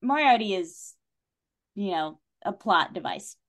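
A woman's voice: mid-range voice, expressive, measured pace. A woman with a mid-range voice chats as a guest on a book podcast. She is opinionated and emphatic, talking through stories in a casual, conversational way. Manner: chatty and opinionated, often emphatic. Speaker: podcast guest, writer and director. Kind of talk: casual literary discussion.

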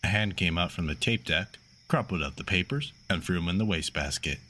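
A man's voice: deep voice, animated, fairly quick, pushed and bright, heavily acted. A man playing a casual, slangy radio caller who likes spooky tales, in an audio drama. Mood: storytelling. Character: casual, foul-mouthed male caller fond of spooky tales.

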